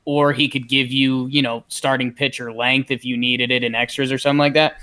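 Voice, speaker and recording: A man's voice: mid-range voice, pushed and bright. A man with an American accent co-hosting a podcast in casual sports chat. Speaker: podcast host.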